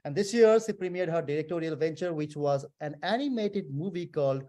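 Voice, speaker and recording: A man's voice: fairly high pitch, expressive, a little fast, dark tone. A man hosting a casual video interview, asking playful, teasing questions. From Nepal. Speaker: host.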